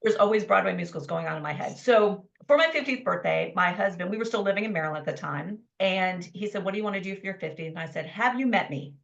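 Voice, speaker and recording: A woman in her fifties, a life coach telling her story as a podcast guest in an enthusiastic, animated way. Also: mid-range voice, fast, gravelly, hardly any pauses, muffled recording. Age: 50s. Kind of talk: conversational interview storytelling.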